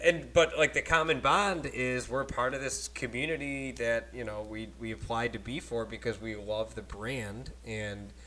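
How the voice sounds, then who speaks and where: A man hosting a casual podcast on a cheap desk microphone, talking in animated, rambling bursts with frequent laughter. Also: mid-range voice, normal speed, hardly any pauses. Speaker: podcast host. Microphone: cheap desk microphone at a laptop.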